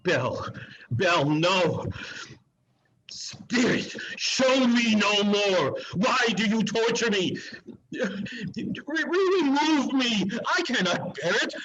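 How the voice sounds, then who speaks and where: A man's voice: high-pitched, very animated, takes it slowly, fairly bright tone, lo-fi sound, slight background noise, heavily acted. A man playing an anguished, regretful old miser in a melodramatic, pleading manner for a dramatic story reading.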